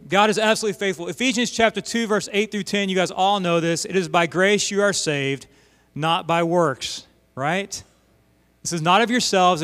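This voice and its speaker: A middle-aged man from Oklahoma preaching in a church sanctuary, his fairly high voice carrying a long hall reverb. He tells stories with animated asides between earnest points. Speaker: missionary pastor. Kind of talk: sermon.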